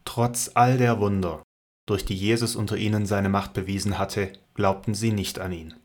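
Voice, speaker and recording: A man narrating a scripted Bible audio drama in a measured, solemn storytelling manner. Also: lowish voice, fairly even intonation.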